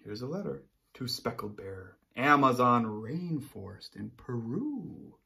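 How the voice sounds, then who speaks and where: A man's voice: medium pitch, sing-song, slow, creaky, sharp tone. A man in his thirties, a teacher reading aloud and explaining things to children expressively, often checking in with listeners. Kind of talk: children's read-aloud lesson. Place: small room indoors.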